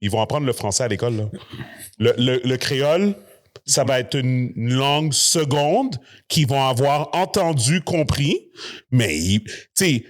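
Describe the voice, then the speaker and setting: A man's voice: medium pitch, lively intonation, measured pace. A man, a podcast host talking loosely and chattily, dropping in English slang. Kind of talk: casual conversation.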